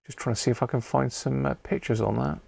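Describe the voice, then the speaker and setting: A man's voice: medium pitch, fairly even intonation, fast talker, creaky, a little mellow, slightly rough. A British man hosting a casual live hobby stream, talking in a rambling, matey way. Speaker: host of a live radio-repair stream. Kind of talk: casual livestream chat.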